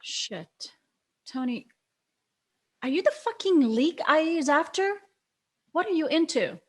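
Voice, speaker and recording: A woman performs a wary police detective in a crime drama. Her voice is on the higher side, and she questions things with open doubt. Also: expressive, unhurried. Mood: suspicious, annoyed. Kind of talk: dramatic screenplay table read.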